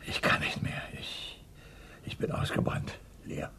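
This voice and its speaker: An actor playing a weary, guilt-ridden craftsman in his sixties in a German radio play, halting and sighing, often sinking into breathy whispers. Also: pushed and bright. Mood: exhausted, haunted. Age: 60s. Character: weary, guilt-ridden man of sixty-four, burnt-out taxidermist.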